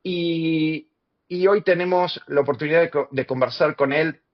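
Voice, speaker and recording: A man hosting an interview, introducing his guest with many pauses and fillers. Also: a little high, lo-fi sound. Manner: hesitant, filler-heavy.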